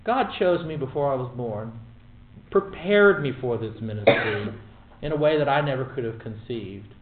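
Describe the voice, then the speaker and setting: A man teaching a Bible study in a lively, emphatic way with wry asides. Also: mid-range voice, moderate pace, noisy background. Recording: echoey room over a tinny phone-like line.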